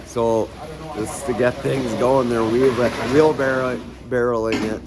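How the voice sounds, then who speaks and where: A man with a hoarse, raspy voice chatting casually in a vlog about his shop, rambling and trailing off with fillers. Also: medium pitch, steady tone, unhurried, a crowd in the background. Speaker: vlogger. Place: garage shop, partly outdoors.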